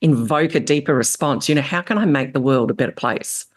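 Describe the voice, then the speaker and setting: A woman's voice: very deep voice, brisk. A woman hosting an interview, asking engaged, probing questions and offering brief affirmations. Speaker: podcast host. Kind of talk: interview conversation.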